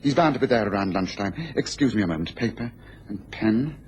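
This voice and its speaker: An actor playing a brisk, commanding gentleman detective in a radio drama, precise and authoritative. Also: lowish voice, expressive, slight background noise, close to an everyday voice.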